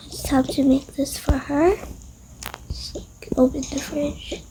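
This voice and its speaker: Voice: high-pitched, little pitch movement, takes it slowly, background noise. A player improvising a roleplay story over a game, murmuring along as if talking to themself. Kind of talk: improvised roleplay narration over a toy-house game, voicing family members.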